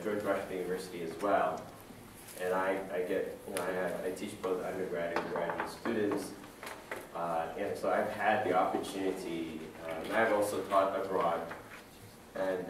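A man's voice: low-pitched, noisy background. A middle-aged man from Ethiopia giving a guest talk to a class, conversational and full of fillers and restarts. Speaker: guest lecturer. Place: large dim lecture room. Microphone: far camera. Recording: echoey room from far off.

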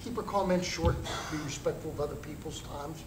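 A man chairing a city council meeting, briskly calling for public comment. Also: a little high. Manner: brisk, procedural. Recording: echoey chamber with hiss.

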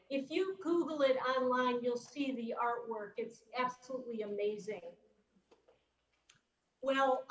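A middle-aged woman from upstate New York delivers a conference keynote over a video call, upbeat and chatty with casual asides. She speaks slowly in a slightly high voice. The audio is roomy and a little dull. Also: a little hiss or hum.

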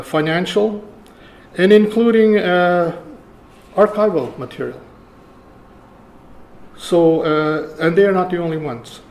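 An older man, around seventy, giving a conference lecture in a halting, deliberate way. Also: a little high. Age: older, about 70. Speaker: keynote speaker, archivist. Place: lecture room, indoors. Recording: roomy echo, faint room noise.

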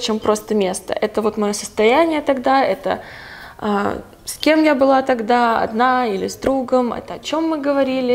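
A woman's voice: mid-range voice, creaky. A young woman answering viewer questions in a casual, chatty vlog, thinking aloud with frequent hesitations. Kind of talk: casual talking-head Q&A.

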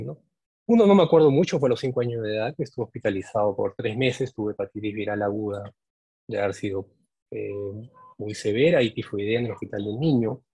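A man's voice: lowish voice, darkish tone, breathy edge, no echo. A man in his forties with a Peruvian Spanish accent, a medical teacher giving an earnest, reflective speech to graduating students, often adding tag questions. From Peru. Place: indoors, conference room. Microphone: podium microphone.

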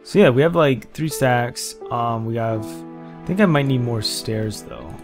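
A young man casually commentating his own game session, thinking aloud in halting bursts with lots of fillers and restarts. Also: mid-range voice, animated, music in the background. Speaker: gameplay commentator. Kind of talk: casual let's-play commentary.

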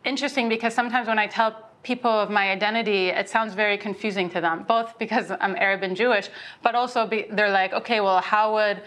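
A woman's voice: medium pitch, steady tone, fairly quick, fairly bright tone. A young adult woman, an artist and educator, speaking reflectively and articulately in a panel discussion. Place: indoor studio set.

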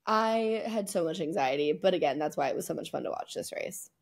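A young woman co-hosting a podcast, chatting casually and informally about motor racing with lively, slangy phrasing. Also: on the low side, normal speed, gravelly, breathy edge. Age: young adult. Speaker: podcast co-host. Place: indoor room at home, video call.

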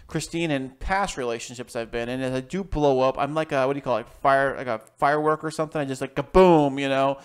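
Male co-host, playful and loose, smooth voice. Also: medium pitch. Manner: jokey, playful, quick to restart words. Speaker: podcast co-host. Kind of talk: casual chat. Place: home studio.

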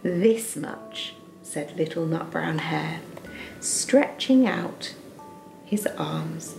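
A woman in her thirties reading a bedtime story to children in a soft, expressive way. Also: sits low, very slow, gravelly, over music, low-quality recording.

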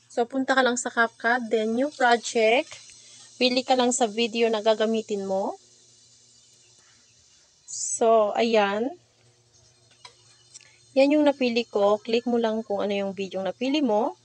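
A woman's voice: fairly high pitch, steady tone, measured pace, long pauses, dry recording. A young adult woman with a Filipino accent, giving a casual step-by-step tutorial voice-over and addressing viewers informally.

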